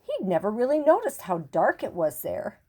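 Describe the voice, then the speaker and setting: An older woman narrating a children's picture book expressively, with deliberate dramatic emphasis and pauses. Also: medium pitch, huge pitch swings, dark tone, breathy edge. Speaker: storyteller reading to children.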